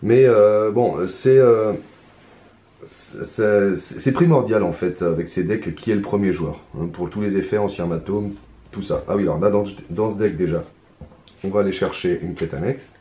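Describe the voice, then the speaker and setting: A hoarse man talking his way through a solo card game in hesitant, mumbled commentary. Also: fairly low pitch, moderate pace, warm tone. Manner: hesitant, mumbling, many restarts, muttering to himself. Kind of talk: casual solo gameplay commentary. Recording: roomy echo, faint ambience.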